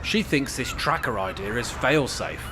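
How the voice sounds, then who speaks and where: A man's voice: medium pitch, expressive, normal speed, noisy background, lightly acted. An amateur actor playing a mischievous, cocky older man in a radio play, with a wry, teasing manner. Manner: wry, teasing, feigned innocence. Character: mischievous, cocky older male care-home resident in a wheelchair.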